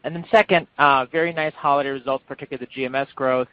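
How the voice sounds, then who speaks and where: A man's voice: medium pitch, steady tone, very fast. A man speaking as a financial analyst, putting questions to executives on an earnings call. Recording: muffled conference call line.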